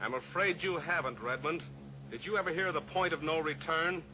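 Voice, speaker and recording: An actor playing a steely heroic cowboy pilot in an old-time radio drama, measured, taunting and authoritative. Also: male voice, a little high, lightly acted. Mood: grim, pressing. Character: steely heroic cowboy pilot, coolly pressuring a captive killer. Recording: noisy old radio recording, engine drone.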